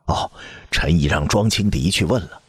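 A man's voice: sits low, normal speed, slightly rough, dry recording, studio quality. A man voicing a hesitant male court minister who hedges his answers. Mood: evasive. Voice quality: hesitant, hedging.

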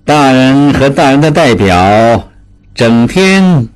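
A man's voice: mid-range voice, very animated, slow. A man voicing a bitter, drunken, menacing older brother, a schemer with a sneering drawl, in a dramatized audiobook. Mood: sarcastic, sneering. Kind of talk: dramatized audiobook character acting.

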